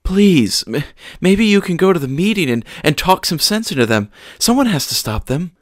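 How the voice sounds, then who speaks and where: A man's voice: sits high, very animated, normal speed, dry recording, studio quality, pitched above the performer's own voice. A man voicing a distraught mother who stammers through her tears and breaks off mid-sentence. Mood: distraught pleading. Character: distraught middle-aged mother, sobbing and pleading.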